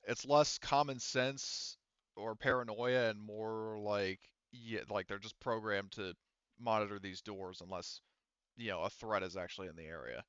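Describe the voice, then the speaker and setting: A man with a smooth, clear voice works as game master for a tabletop roleplaying game. He explains rules and scenes in a casual, halting way full of asides. The sound is dry and slightly dulled. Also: on the low side, steady tone, fairly bright tone, dry recording, highs cut off.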